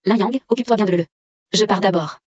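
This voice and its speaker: A woman voicing a gentle young mother in a dubbed drama, speaking softly and hesitantly. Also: mid-range voice, quick pace, breathy edge, no room sound, muffled recording, natural voice.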